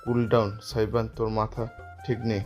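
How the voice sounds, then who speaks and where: A man's voice: on the low side, little pitch movement, moderate pace, a little mellow, faint music behind, natural voice. A man playing an alarmed, blunt young best friend in an audio drama. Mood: urgent calming. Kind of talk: dramatic audio story acting.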